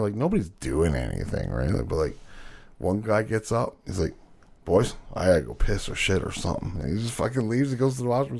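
Loud, gravelly man chatting on a podcast. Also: low-pitched. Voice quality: loud voice that carries. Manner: profane, storytelling, laughing often. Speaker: podcast co-host. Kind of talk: casual chat.

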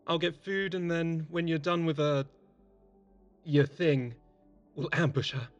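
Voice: mid-range voice, steady tone, a little slow, lo-fi sound, soft background music, lightly acted. A performer playing a cheeky, playful, teasing colleague at a space clinic in an audio drama, breezy and informal. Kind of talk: sci-fi audio drama acting.

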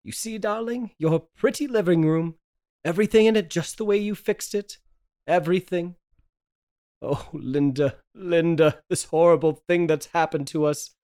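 Tormented husband, acted out, mid-pitched voice, melodramatic, pleading, secretive, radio horror drama. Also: moderate pace, dark tone, close to an everyday voice. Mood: coaxing, sorrowful. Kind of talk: old-time radio drama table read.

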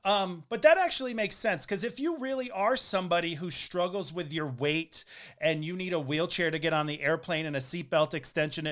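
A flight attendant chatting casually, telling stories in an animated, frank way and laughing easily. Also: mid-range voice, fairly quick. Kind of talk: casual conversation.

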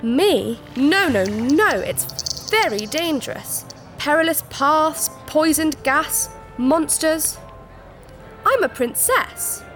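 A woman's voice: sits high, animated, slow pace, fairly bright tone, faint music behind, heavily acted. A woman playing a scheming, haughty alien princess in a sci-fi audio drama, speaking in formal, dramatic phrases. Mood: dramatic alarm.

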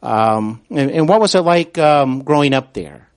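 Earnest male interview host, halting, dry sound. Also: mid-range voice, expressive, dry recording. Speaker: podcast host. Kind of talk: interview with spoken introduction.

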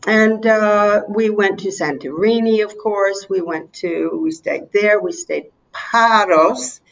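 A woman in her seventies from North Carolina with a Southern US accent, chatting as an interview guest in a reflective, halting, anecdotal way. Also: medium pitch, creaky, poor audio. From North Carolina, USA. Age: 70s. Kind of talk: casual interview chat.

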